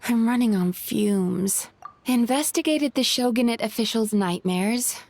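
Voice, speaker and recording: A woman voicing a gentle, exasperated woman in a video game, sounding tired, polite and pleading. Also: on the high side, unhurried. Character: weary, gentle, exasperated female companion. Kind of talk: video game character acting.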